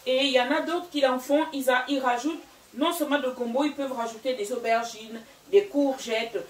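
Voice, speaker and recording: Hesitant, chatty woman, French not her first language, cooking vlog host, higher voice, distant mic. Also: steady tone, brisk, reverberant. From Gabon and Equatorial Guinea. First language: Fang or another local language of Equatorial Guinea. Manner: chatty, hesitant, many fillers. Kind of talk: casual cooking vlog talk. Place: home kitchen.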